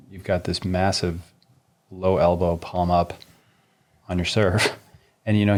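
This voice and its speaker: A man with a deep, low voice chats casually as a tennis podcast co-host. He is laid-back and often laughs. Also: a little mellow.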